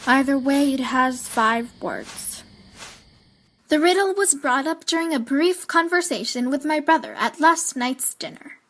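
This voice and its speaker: A woman playing a reserved, brooding teenage girl in an amateur audio drama, measured and guarded in manner. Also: high-pitched, animated, fairly bright tone, heavily acted, low-quality recording. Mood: pensive.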